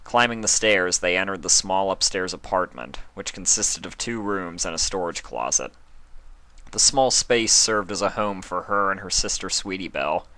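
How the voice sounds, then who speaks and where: A man narrating a fan fiction story in a calm, steady storytelling manner. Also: sits low, moderate pace. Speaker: reader.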